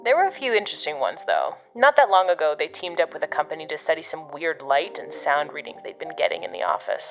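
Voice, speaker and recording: A woman's voice: low voice, big pitch swings, quick pace, narrow, tinny audio, faint music behind. A woman playing a jaded agency operative in an audio drama, dry, sarcastic and casual, heard through a radio filter. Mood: explaining. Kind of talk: audio fiction podcast acting.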